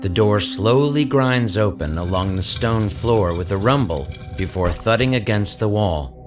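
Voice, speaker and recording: A man's voice: on the low side, expressive, unhurried. A man giving dramatic scripted narration for a horror audio drama. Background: music under the voice.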